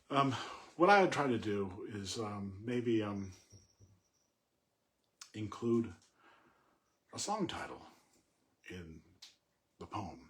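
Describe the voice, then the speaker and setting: Slow-talking, low-voiced man musing aloud. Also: fairly bright tone. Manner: halting, reflective, with long pauses between short phrases. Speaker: poetry commentator. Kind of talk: informal poem analysis, thinking aloud.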